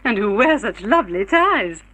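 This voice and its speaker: A woman's voice: mid-range voice, very animated, normal speed, a bit bright, rough recording. A woman playing a sly, fun-loving socialite, arch and teasing, in a vintage radio drama. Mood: arch, amused.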